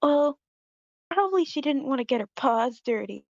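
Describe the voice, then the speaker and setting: A woman's voice: sits high, lively intonation, normal speed, vocal fry, darkish tone, dry recording. A woman reading a scornful, self-righteous young creature schoolgirl in a screenplay table read, emphatic and dismissive. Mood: curious, snide. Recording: dull lo-fi call audio.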